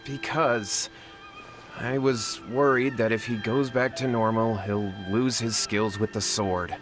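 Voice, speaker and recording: A man's voice: medium pitch, steady tone, slow pace, music in the background, close to an everyday voice. A man voicing a nervous young man in a video game, stammering and flustered. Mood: confessing. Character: nervous young man, scheming and easily cowed.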